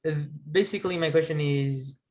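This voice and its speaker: Young Greek-accented man, non-native English, hesitant, video-call laptop mic, higher-pitched. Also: brisk. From Greece. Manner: hesitant, many fillers and restarts. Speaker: guest. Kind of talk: casual video call conversation. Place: small bright room at home. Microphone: laptop webcam mic on a video call.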